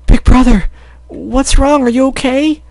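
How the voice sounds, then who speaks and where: Guy doing a squeaky little pony girl, teary and begging. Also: measured pace, creaky, darkish tone, no room sound, exaggerated voice. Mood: worried. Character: little filly girl pony, tearful and pleading.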